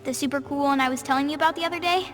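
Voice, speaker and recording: A woman playing a bright, bossy, bookish little girl, earnest and precise like a know-it-all, in naturalistic game acting. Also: high-pitched, steady tone, rapid-fire, faint music behind. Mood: bossy, playful.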